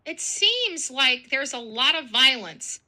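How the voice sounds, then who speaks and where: A woman with a high, very animated voice delivers casual commentary. She sounds very bright and piercing, with an emotional, dramatic way of talking. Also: takes it slowly. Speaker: online commentator.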